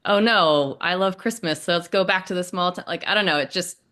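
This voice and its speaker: A woman's voice: fairly low pitch, fairly quick, a bit bright. A woman actor giving casual, conversational feedback in a group discussion.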